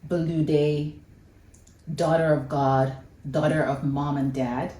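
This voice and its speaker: A woman's voice: low-pitched, fairly even intonation, slow pace, mellow. A woman hosting an interview with a friend, framing long, affirming questions. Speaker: host. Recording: echoey room from a distance.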